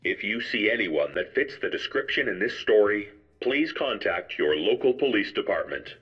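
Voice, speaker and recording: Serious news anchor for a radio drama, lowish voice, formal, music under it, slightly dull sound. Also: male voice, big pitch swings, slightly rough. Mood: grave, formal. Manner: formal, measured announcing. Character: grave male news anchor reporting on a killer. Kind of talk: scripted news report in audio drama.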